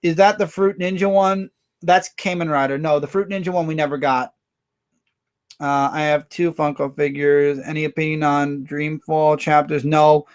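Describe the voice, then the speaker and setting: A man hosting a casual livestream, rambling through viewer comments with quick asides. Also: fairly high pitch, steady tone, smooth. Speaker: livestream host.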